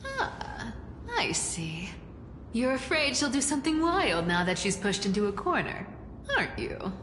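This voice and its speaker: A sly, teasing trickster demigod, her lively voice leaping up and down as she mocks and toys with people. She speaks unhurried, drawing out her words, and the voice rings in a roomy space with a long reverb tail. Music plays clearly behind her. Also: fairly high pitch, a little hiss or hum. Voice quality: playful, mocking. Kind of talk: game voice acting.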